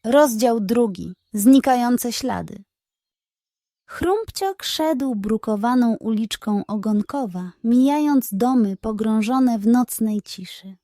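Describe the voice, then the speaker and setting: A woman narrating a scripted bedtime story for children in a measured, expressive way. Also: a little high, dry recording, clean studio sound.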